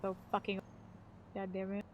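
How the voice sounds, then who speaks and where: A woman's voice: mid-range voice, monotone, normal speed, dull audio, slight background noise. A woman in her thirties, a live streamer chatting casually, rambling and swearing freely. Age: 30s. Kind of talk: casual chat.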